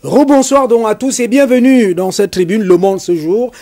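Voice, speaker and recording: A man hosting a studio talk show, speaking emphatically and slowing down to stress his points. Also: high-pitched, warm tone.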